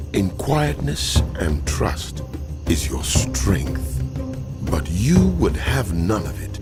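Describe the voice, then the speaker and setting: An actor voicing God in a dramatized scripture reading, solemn, commanding and deliberate as he passes stern judgment. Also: lowish voice. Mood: grave, reproving. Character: God, stern male divine voice passing judgment. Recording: very loud music behind voice.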